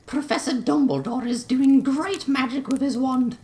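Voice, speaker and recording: A man voicing an eager, pleading male house elf with a squeaky, breathless voice who speaks of himself in the third person. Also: normal speed, darkish tone. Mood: earnest. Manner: talks of himself in third person, says sir often.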